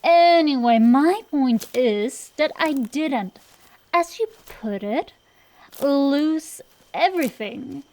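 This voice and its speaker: A woman's voice: high voice, lively intonation, darkish tone. A woman playing a cocky, defensive tomboy dragon-girl sorceress in a comedic ASMR roleplay, blustering and bantering through her excuses. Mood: indignant, blustering. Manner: blustering, bantering, hesitant excuses full of drawn-out pauses. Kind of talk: ASMR roleplay, one-sided comedic dialogue.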